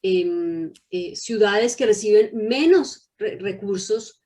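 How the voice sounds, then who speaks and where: A woman's voice: mid-range voice, takes it slowly, warm tone. A woman moderating an online community meeting, conversational, with hesitant fillers as she adds context and hands the floor to speakers. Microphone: video call.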